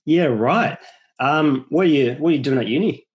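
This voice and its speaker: Youngish aussie guy hosting a podcast, voice a bit on the high side, matey and jokey. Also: animated, moderate pace, dull audio. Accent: Australian. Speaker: podcast host, interviewer. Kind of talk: casual chat interview.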